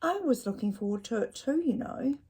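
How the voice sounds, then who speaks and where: A woman's voice: fairly high pitch, animated, fairly quick, mellow, breathy edge, voice pushed up. A woman voicing a kindly, adventurous dad in a children's storybook, coaxing and reassuring. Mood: apologetic.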